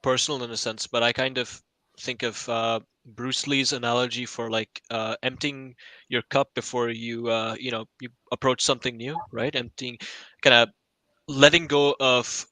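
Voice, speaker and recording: A man on a panel explaining his thoughts casually, in a wordy, tentative and halting way. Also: mid-range voice, level tone, pushed and bright, no echo, low-quality recording. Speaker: podcast panelist.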